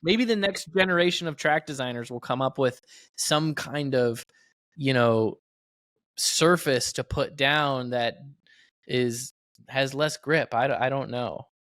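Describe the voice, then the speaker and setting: A man's voice: mid-range voice, measured pace, fairly bright tone, no echo. Male American podcast co-host, mid-range voice, conversational debater of racing matters, dry close recording. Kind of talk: casual motorsport podcast discussion.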